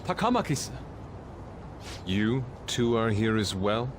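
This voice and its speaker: Formal, theatrical young art student, swooping delivery. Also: male voice, on the low side, sing-song, a little slow, heavy background noise. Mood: surprised. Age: young adult. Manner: formal, theatrical, elevated diction. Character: proud, formal young art student, fiercely loyal to his teacher. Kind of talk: dramatic English video game dub acting. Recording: music behind the voice.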